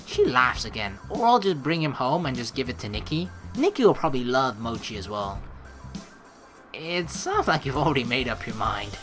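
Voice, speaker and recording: A woman gives chatty, playful commentary on a game. Her pitch swings widely as she rambles and reads aloud. Game music plays underneath. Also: big pitch swings, normal speed. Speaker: let's player reading and reacting to a game. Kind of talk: casual gameplay commentary.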